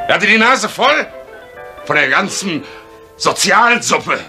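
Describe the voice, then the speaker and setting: A man's voice: high voice, sing-song, slow pace, pushed and bright, soft background music, full character voice. An actor playing an agitated, contemptuous man who sounds fed up, in a vintage German crime drama. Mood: agitated, scornful. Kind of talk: 1970s German television crime drama acting.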